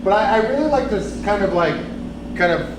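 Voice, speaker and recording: A man's voice: sits high, lively intonation, a little fast. A man giving a conversational talk to an audience without a microphone, with many fillers, often asking the room questions. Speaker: speaker on homelessness. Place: large echoey room, audience present. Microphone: no microphone, room recording from a distance. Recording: echoey room from far off with loud noise.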